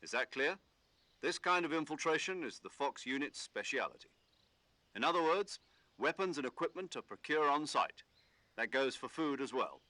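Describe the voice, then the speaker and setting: A military commander from a video game, calm and in full authority. His voice is crisp-edged and bright, recorded very dry. He briefs in a measured, instructive way. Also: a little high, dry recording. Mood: stern, wry. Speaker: mission commander giving radio briefing. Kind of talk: scripted video game voice acting.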